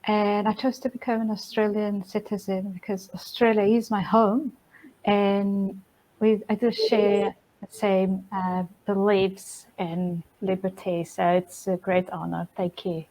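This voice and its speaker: A woman's voice: medium pitch, flat delivery, unhurried, warm tone, rough recording. A woman from Romania, a new citizen speaking in a friendly, simple way with some uhs over a video call.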